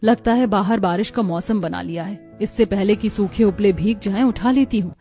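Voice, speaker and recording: Woman as an earnest, mellow-voiced poor young wife. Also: medium pitch, moderate pace, faint music behind, close to an everyday voice. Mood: worried, resolved. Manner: earnest, plaintive. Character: poor, determined, caring young daughter-in-law. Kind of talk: cartoon story acting.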